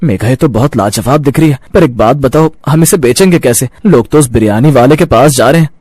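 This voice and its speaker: A man's voice: mid-range voice, brisk, a little mellow, slightly rough, no room sound, clean studio sound, lightly acted. A man playing a polite, earnest village husband who sells street food in a cartoon moral story. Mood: impressed, unsure.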